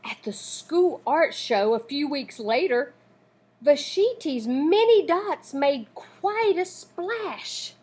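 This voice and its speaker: A woman reading a children's picture book aloud in an expressive, deliberate, dramatic storytelling manner. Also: high-pitched.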